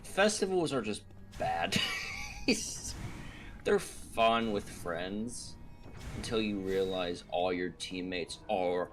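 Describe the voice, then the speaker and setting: A man's voice: deep voice, expressive, very slow. A man chatting casually over a call, his voice a little scratchy, rambling with lots of fillers and swearing. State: slightly scratchy voice. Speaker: guest on a gaming stream call. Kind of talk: casual chat. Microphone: desk mic on a broken stand, over a call.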